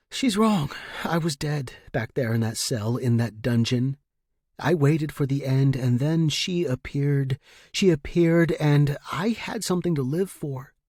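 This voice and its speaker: A man narrating first-person fiction in a measured, intimate and dramatic way, with deliberate, hushed phrasing. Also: mid-range voice, no echo, clean studio sound.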